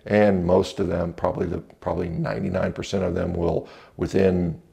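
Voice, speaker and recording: Deep, very gravelly American man. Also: little pitch movement, moderate pace, dark tone. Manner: blunt, dismissive, conversational with hedging asides. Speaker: video reviewer and commentator. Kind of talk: unscripted opinion commentary to camera. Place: indoors, home entrance hall. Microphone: small clip-on lapel mic.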